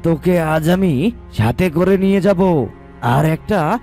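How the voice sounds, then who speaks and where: A man's voice: a little high, expressive, takes it slowly, a little mellow. A man playing a boastful, threatening evil sorcerer in a cartoon. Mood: threatening, gloating. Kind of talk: cartoon villain acting. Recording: music behind the voice.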